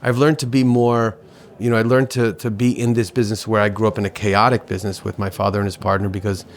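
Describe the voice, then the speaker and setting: Halting, reflective middle-aged man. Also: fairly low pitch, level tone. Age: 40s. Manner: halting, repeats words, philosophical. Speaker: restaurateur guest. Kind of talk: reflective interview talk.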